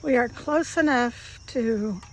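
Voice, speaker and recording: A hoarse woman hosting a homesteading vlog, chatting casually and explaining tips to viewers while working outdoors. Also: fairly high pitch, slow pace, slight background noise, rough recording. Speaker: homesteading channel host.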